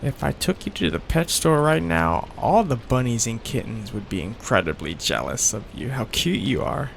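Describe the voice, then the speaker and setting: A man playing a soft-hearted young mafia heir in an intimate ASMR roleplay, tender and coaxing, hesitant and possessive as he seeks reassurance. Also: medium pitch, very animated, moderate pace, gravelly, slight background noise. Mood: playful, doting.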